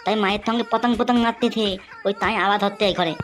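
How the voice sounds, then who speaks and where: A woman's voice: mid-range voice, steady tone, very fast, slight background noise, lightly acted. A woman voicing a cheeky, bossy, foul-mouthed little cartoon boy with a childish lisp, urging and repeating himself. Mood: cheeky, conspiratorial. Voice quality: childish lisp, swaps consonants for t and th. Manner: bossy, urgent, repetitive.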